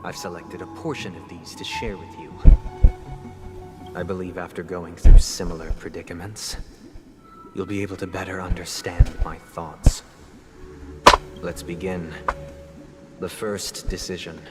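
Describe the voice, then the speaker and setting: An actor playing a composed, eloquent young man in a game cutscene, speaking in a measured, formal, softly persuasive way. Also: sits low, level tone. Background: loud game soundtrack music.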